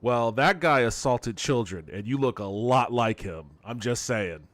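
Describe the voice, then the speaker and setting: A man with a mid-pitched voice improvises casual commentary as he plays a game. He talks in a provocative, mock-serious way and is happy to swear. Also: animated, a little slow, a bit bright, a little hiss or hum. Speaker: streamer playing an AI game demo.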